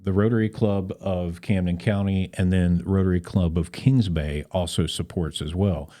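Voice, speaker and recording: Low-voiced man explaining in an interview. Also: dark tone. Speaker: podcast guest, Rotary club volunteer. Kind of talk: conversational interview.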